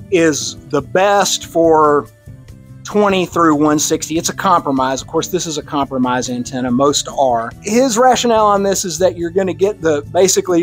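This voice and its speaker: A man with a Texan accent presenting to a radio club, explaining in a relaxed, conversational way with casual asides. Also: fairly high pitch. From Texas. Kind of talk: technical slide presentation. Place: club meeting room.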